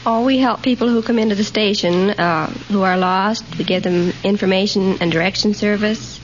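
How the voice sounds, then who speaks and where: A woman's voice: medium pitch, fairly even intonation, normal speed. A woman giving polite, conversational answers as a contestant on a radio quiz. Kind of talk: polite unscripted interview answers. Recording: dull, noisy 1940s radio recording.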